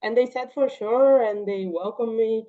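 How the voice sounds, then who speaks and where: A young woman from Paraguay whose first language is Portuguese, chatting casually as a podcast guest with a relaxed, talkative manner. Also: a little high, a little slow. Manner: relaxed, chatty, with frequent "like" and laughs. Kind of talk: casual interview chat. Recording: slightly dull, lo-fi audio.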